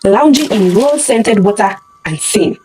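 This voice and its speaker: A woman's voice: a little high, lively intonation, normal speed, mellow, slight background noise. A woman telling a scripted dramatic story as narrator, theatrical and suspenseful, in short punchy phrases.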